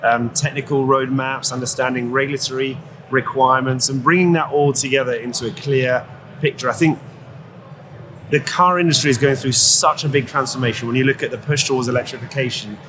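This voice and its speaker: A man, a company chief executive, giving measured, articulate answers as a guest in an interview. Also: mid-range voice, fairly even intonation, bright, forceful tone. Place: trade show floor. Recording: live event with clear background noise. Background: show-floor ambience.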